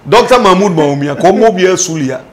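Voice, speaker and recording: A man's voice: sits high, lively intonation, quick pace, slight background noise. A middle-aged man with a Ghanaian accent discussing politics emphatically, asking pointed questions and repeating his phrases. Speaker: political commentator. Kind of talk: animated political discussion, switching between languages. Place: indoor studio set.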